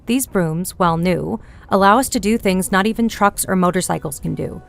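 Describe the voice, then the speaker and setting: A woman playing a firm, composed military instructor, speaking in a measured teaching manner. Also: medium pitch, vocal fry, lightly acted. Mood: firm, instructive. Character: Sergeant Helena, firm, composed female broom-riding instructor. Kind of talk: audio drama character acting.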